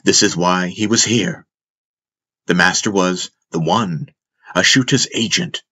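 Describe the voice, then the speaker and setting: A man with a low, bright and forceful voice narrates a fantasy audiobook. He tells it solemnly and deliberately, placing dramatic weight on key words. His delivery is very unhurried.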